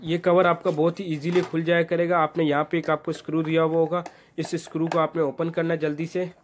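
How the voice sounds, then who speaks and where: A man presents a hands-on how-to in an informal, instructional manner. His voice sits a little high and stays very even in pitch. He explains each step in turn over faint background noise. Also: brisk. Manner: instructional, step-by-step, addressing viewers as friends. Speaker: repair tutorial presenter.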